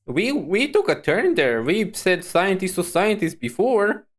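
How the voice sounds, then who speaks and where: A woman streamer giving casual, chatty reaction commentary, expressive and often repeating her phrases. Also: medium pitch, measured pace.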